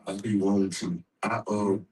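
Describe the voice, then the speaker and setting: An American man with a slight cold, chatting reflectively in a halting, repetitive, slangy way. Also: lowish voice, fairly even intonation, a little mellow, distant mic, low-quality recording. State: sniffly with a cold. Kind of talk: casual reflective chat.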